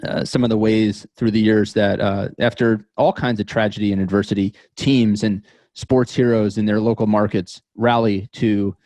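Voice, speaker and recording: Low-voiced American man, reflective and halting. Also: little pitch movement, a little mellow, no echo. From New York metropolitan area, United States. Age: 30s. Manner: halting, thoughtful, repeats words as he goes. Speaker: podcast guest. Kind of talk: reflective interview storytelling.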